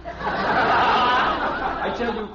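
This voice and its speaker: An American actor playing a middle-aged, soft-hearted but grumbling father in an old radio family comedy. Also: extremely high pitch, fairly even intonation, extremely slow, full character voice. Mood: impatient, exasperated. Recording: dull, noisy old radio recording.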